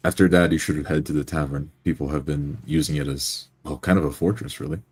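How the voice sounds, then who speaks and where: A man's voice: extremely low pitch, steady tone, a little fast, a little mellow, slightly rough. A man playing a tabletop roleplaying game, describing his character's actions and speaking in character.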